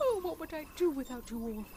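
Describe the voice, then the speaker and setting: A woman playing a motherly, brisk adventurer in an audio drama, with an earnest manner. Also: high-pitched, warm tone. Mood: brisk, fond. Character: motherly, brisk female alien-fighting adventurer. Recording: clearly noisy background.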